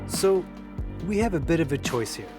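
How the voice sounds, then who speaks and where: A man plays a level-headed young guy who organizes his housemates in an audio drama. His delivery is casual and natural, in a voice a little higher than average. Music runs clearly beneath it. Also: big pitch swings, normal speed. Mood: firm, deliberate. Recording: music under the dialogue.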